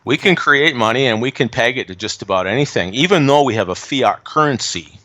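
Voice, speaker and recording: A man's voice: medium pitch, expressive, fairly quick, sharp tone. A man giving opinionated, emphatic commentary on politics and economics in a casual chat. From Canada. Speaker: guest commentator.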